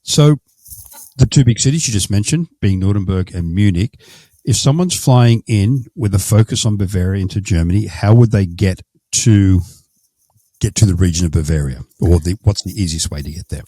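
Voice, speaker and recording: A man with a very deep, mellow voice hosts an interview. He asks long, meandering questions, full of restarts and fillers. The sound is dry and close. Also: no echo. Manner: rambling, halting, many fillers. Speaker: host.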